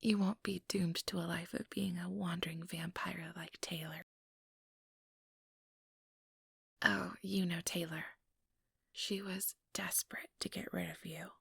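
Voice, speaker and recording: A woman voicing an ambitious, manipulative female vampire in a breathy, measured whisper for an ASMR role-play, close to the microphone. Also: fairly low pitch, little pitch movement, dry recording, exaggerated voice. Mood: smooth persuasion. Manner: measured, condescending, persuasive.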